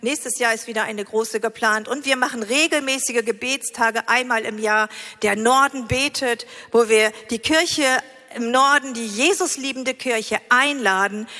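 Female conference preacher, forceful bright tone, enthusiastic delivery, long hall reverb. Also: a little high, normal speed. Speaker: conference speaker. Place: large congress hall, live on stage. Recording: hall reverb from stage sound system.

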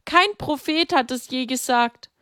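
A woman's voice: unhurried, no echo, studio quality. A woman voicing Mary, an anguished grieving mother. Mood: desperate. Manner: pleading, anguished, high-pitched.

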